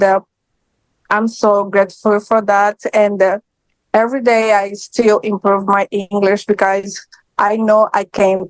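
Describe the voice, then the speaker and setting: A woman of twenty, a native Portuguese speaker, giving halting public comment in learner's English over a video call. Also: medium pitch, dry recording, rough recording. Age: 20s.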